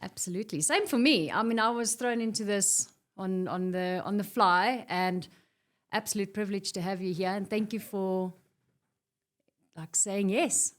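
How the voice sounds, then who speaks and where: A woman's voice: medium pitch, moderate pace. A woman with a South African accent hosting a casual interview, with short reactions and laughter. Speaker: host.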